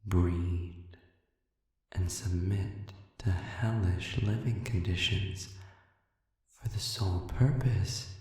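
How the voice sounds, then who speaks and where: A man playing a sadistic, manipulative demon in an audio roleplay, smug and philosophical, with a low gravelly voice and a deliberate, murmuring delivery full of pauses. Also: mellow. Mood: disdainful, accusing. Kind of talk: dramatic audio roleplay monologue. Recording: heavily reverberant, distant cavernous sound.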